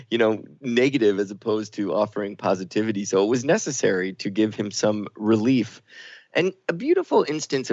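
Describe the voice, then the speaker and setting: A man's voice: lowish voice, creaky, no echo. A man co-hosting a reflective talk radio show, speaking in an affirming, expansive, emphatic way. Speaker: radio co-host. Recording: thin phone-like sound, very dry.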